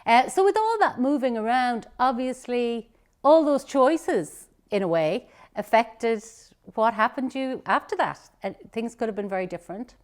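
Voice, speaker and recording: A woman's voice: a little high, animated, moderate pace, a little mellow. A woman from Ireland hosting an online interview, asking friendly, leading questions over a video call. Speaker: interviewer and host.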